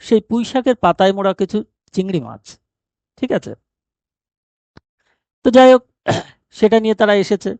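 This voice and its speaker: A man's voice: high voice, animated, moderate pace, vocal fry, pauses often, a little mellow, dry recording. A man explaining a story as a teacher, posing questions and answering them himself. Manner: didactic, asks and answers own rhetorical questions. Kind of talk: explanatory lesson retelling a short story.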